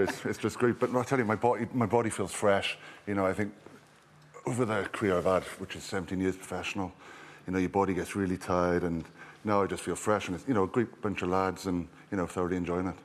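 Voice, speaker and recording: A man's voice: deep voice, fairly even intonation, fast talker, a little hiss or hum. A man in his thirties from Wales, a rugby player guest chatting casually and rambling with plenty of fillers. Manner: easygoing, rambling with many fillers. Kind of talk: casual interview chat.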